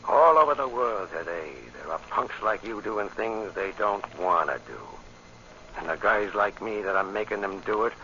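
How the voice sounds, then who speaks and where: A man's voice: on the low side, animated, moderate pace, sharp tone. An actor playing a menacing American crime boss with sneering tough-guy slang, in old-time radio drama style. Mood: cold threat. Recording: muffled, hissy old radio recording.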